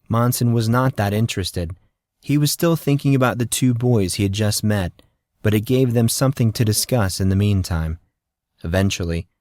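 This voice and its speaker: A man's voice: on the low side, darkish tone, no room sound. A man reading scripted fantasy fiction as an audiobook narrator.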